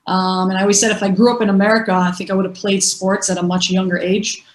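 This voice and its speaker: A woman's voice: mid-range voice, little pitch movement, quick pace. Woman on an echoey video call. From born in the US, raised in Israel. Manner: casual, filler-heavy, enthusiastic recruiting. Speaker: women's football player. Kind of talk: panel discussion over video call.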